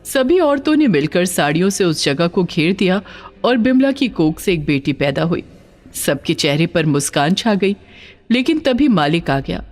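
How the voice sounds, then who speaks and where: A woman narrating a scripted dramatic story in an expressive, emphatic way. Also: on the low side, normal speed.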